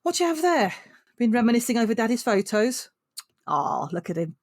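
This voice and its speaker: An actress playing a caring but exasperated adult daughter in an audio drama, with a nagging manner. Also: female voice, a little high, big pitch swings, a little fast, a little mellow, dry recording, clean studio sound. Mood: warm, tired. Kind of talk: naturalistic audio drama acting.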